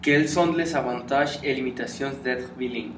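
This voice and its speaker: A man interviewing a guest, asking questions in a halting way with fillers and restarts. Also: medium pitch. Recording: echoey room, distant mic, music behind.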